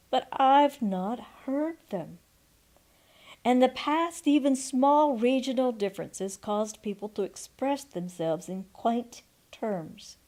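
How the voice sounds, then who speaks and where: A woman in her sixties reading a folksy memoir aloud as a storyteller, expressive and deliberate, with pauses. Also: medium pitch. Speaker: storyteller and audiobook narrator. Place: quiet room at home, indoors. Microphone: microphone on a stand before her, seated.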